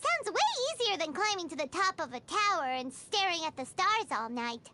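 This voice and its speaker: A woman voicing a small, childlike flying companion in a video game, squeaky, bubbly and excitable. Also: normal speed, full character voice. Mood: curious, amazed. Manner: bubbly, exclamatory, speaks of herself in third person. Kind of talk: scripted video game dialogue.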